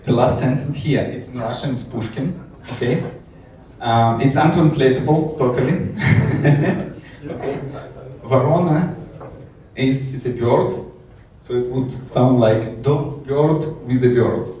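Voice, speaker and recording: A man's voice: medium pitch, slow, warm tone. Man speaking in an echoey conference hall. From Israel. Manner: hesitant, many fillers and restarts, light self-amused laughs. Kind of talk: technical conference talk. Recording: echoey hall, distant mic, faint noise.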